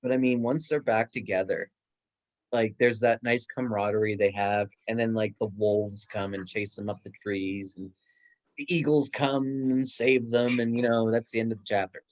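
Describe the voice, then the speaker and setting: A man's voice: fairly low pitch, fairly even intonation, normal speed, poor audio. A man chatting casually and enthusiastically in a book discussion. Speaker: book club guest, bookstore worker.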